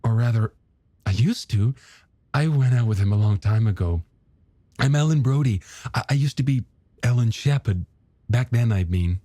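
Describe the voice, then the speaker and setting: A man voicing a polite, warm and slightly shy married woman in an audiobook, gently conversational. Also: mid-range voice, moderate pace, pauses often, no echo, pitched above the performer's own voice, natural voice. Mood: shy, curious. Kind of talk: audiobook character dialogue.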